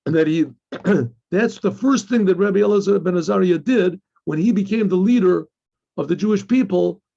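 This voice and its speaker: A man, a rabbi with a husky, gravelly voice and frequent throat-clearing, gives a religious lesson, reading and explaining a text haltingly with repeated phrases and rhetorical questions. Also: high voice, warm tone. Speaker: rabbi teaching. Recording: dull, lo-fi sound.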